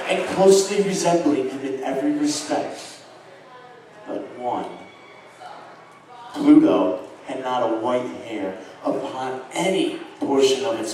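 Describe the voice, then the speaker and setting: A man performing a live dramatic reading as a tormented, halting murderer confessing in a Gothic horror tale. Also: on the high side, mellow. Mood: uneasy wonder. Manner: halting, stammering, repeating words mid-phrase. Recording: echoey, distant live show recording, faint noise.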